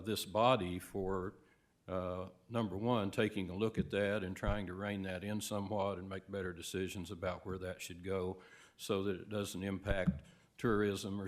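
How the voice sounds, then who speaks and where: Hoarse man, lowish voice, halting public comment, lots of ums. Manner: measured, halting, many fillers. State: hoarse from a cold. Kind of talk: public comment at a county meeting.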